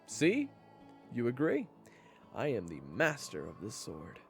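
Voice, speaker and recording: A woman's voice: extremely low pitch, wildly swooping pitch, a little slow, gravelly, faint music behind, full character voice. A woman playing a cocky, hot-headed and insecure young swordsman in a fantasy audio drama, with a brash, indignant delivery. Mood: boastful. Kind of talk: fantasy audio roleplay acting.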